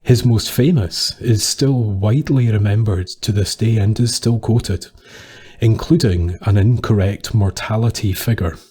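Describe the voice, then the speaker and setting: A Scottish man reading a scripted dark tale as a storyteller, with solemn, deliberate phrasing. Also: on the low side, slightly rough.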